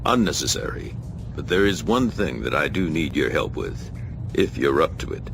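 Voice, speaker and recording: Game cutscene role: solemn, commanding order leader, deep register, gravel in the voice, rhetorical delivery. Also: male voice, sing-song, normal speed, background noise, exaggerated voice. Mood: grave. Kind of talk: dramatic video game cutscene acting. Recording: loud background music.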